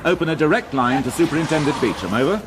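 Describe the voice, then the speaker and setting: An actor playing a brusque, exasperated police inspector in a radio crime drama. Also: male voice, a little high, animated, fast, lightly acted. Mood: urgent. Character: brusque middle-aged male police inspector. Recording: radio drama with background noise.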